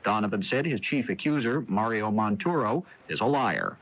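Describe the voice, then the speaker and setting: A man's voice: on the low side, expressive, moderate pace, fairly bright tone. A man with an American accent reading scripted network news as a correspondent, heard on a 1980s radio recording. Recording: dull lo-fi 1980s radio broadcast tape.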